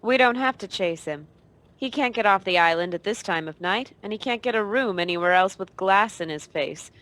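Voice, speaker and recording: A woman's voice: mid-range voice, expressive, normal speed, fairly bright tone, lightly acted. Brazen noir femme fatale, played by a woman. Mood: cool, knowing. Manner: cool, brazen, wisecracking. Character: tough, teasing, dangerous female femme fatale operative. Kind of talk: 1940s noir radio play acting.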